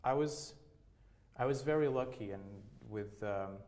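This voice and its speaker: A man's voice: mid-range voice, unhurried, a little mellow. A man in his thirties from Portugal, speaking English with a Portuguese accent, answering interview questions in a hesitant way with many restarts. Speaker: opera singer, interviewee.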